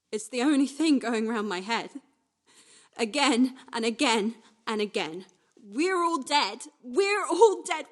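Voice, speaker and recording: A woman playing a restless, wistful young woman in a confessional radio play monologue, speaking naturally in halting, repetitive phrases. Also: fairly high pitch. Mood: wry, unsettled, then agitated, giddy. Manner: halting, repetitive, swinging from hushed to agitated.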